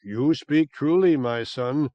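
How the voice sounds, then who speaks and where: A man's voice: medium pitch, slow, dark tone, dry recording, dull audio, natural voice. A man voicing a stern male priest in an audiobook, speaking solemnly.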